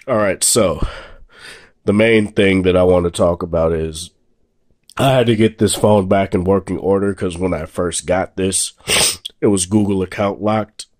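Young male tech vlogger, very low voice, slightly sore, casual and rambling, dry room. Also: measured pace, no echo. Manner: relaxed, rambling, many fillers and restarts. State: slightly sore voice after illness. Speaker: tech reviewer. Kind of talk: casual hands-on phone review. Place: indoors at a table, off camera.

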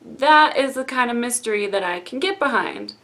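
Hoarse young woman gushing on webcam. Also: on the high side, lively intonation, normal speed, fairly bright tone. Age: young adult. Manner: chatty and gushing. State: hoarse, losing her voice. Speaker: YouTube reaction host. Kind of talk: casual trailer reaction. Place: indoor room with bookshelves. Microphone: webcam at desk.

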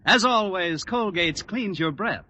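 A man's voice: high-pitched, expressive, unhurried, pushed and bright, slight background noise. A male announcer delivering a scripted 1940s radio commercial in an emphatic, polished sales style.

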